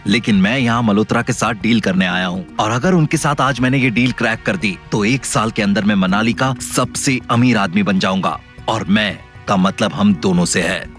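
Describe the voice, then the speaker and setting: An actor playing a wealthy, ambitious ex-husband and obsessed suitor in an audio drama. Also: male voice, on the low side, normal speed, a bit bright, close to an everyday voice. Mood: boastful, persuasive. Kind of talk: Hindi audio drama acting. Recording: music bed behind voice.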